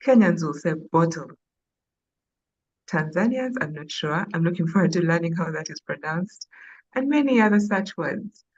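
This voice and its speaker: Ugandan woman, podcast co-host, lowish voice, chatty, dull call audio. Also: animated, moderate pace, husky. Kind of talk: casual live podcast chat.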